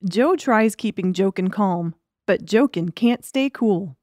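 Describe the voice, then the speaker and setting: A woman narrates a scripted audiobook story. Her voice sits at a medium pitch. The recording is dry and close, without room sound. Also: expressive, takes it slowly, darkish tone, no room sound.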